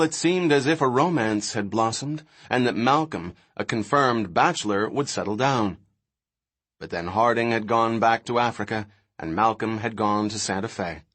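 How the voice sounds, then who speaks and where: A man reading a novel aloud as scripted narration, in a dramatic, expressive manner. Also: fairly low pitch. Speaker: audiobook narrator.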